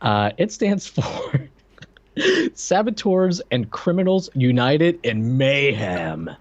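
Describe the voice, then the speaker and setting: A man chats casually as a podcast co-host, his medium-pitched voice moving up and down in a lively way. He sounds wry and bemused, and the recording is a little dull. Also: takes it slowly. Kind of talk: casual chat, commentary.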